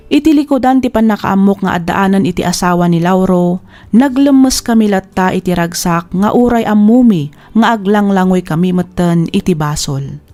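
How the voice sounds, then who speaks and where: A woman's voice: medium pitch, moderate pace, dark tone. A woman with an Ilocano accent reading a letter aloud as scripted narration for a drama programme. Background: music.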